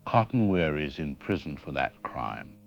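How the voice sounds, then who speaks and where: A man playing a professor interviewed as an expert in a radio drama, speaking in a measured, analytical way. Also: rumbling low, big pitch swings, vocal fry, heavily acted. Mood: calm, grave. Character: grave male professor explaining a killer's mind. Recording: dull lo-fi radio sound with faint music.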